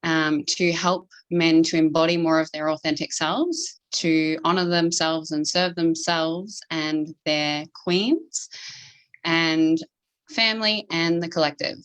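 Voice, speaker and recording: A woman hosting a podcast, introducing her guest and asking questions, laughing often and speaking in a halting way. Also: low-pitched, fairly even intonation, a bit bright. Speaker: podcast host. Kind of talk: interview hosting.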